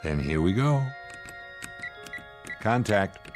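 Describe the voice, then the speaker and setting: An actor playing a blunt, sardonic spaceship commander in a radio drama, with a commanding, scornful, plain-spoken manner. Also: male voice, deep voice, very animated, extremely slow, music in the background, exaggerated voice. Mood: brisk, confident.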